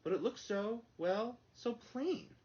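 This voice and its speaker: A man's voice: extremely high pitch, animated, takes it slowly, a little mellow, voice pushed up, exaggerated voice. A man voicing a small, polite, earnest hermit crab in a storybook, with an exclaiming delivery. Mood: doubtful. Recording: dull lo-fi sound.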